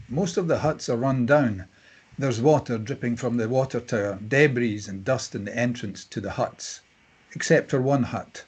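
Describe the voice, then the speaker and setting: A man with a husky voice narrating stage directions from a screenplay at a table read. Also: medium pitch. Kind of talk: scripted screenplay narration, present tense.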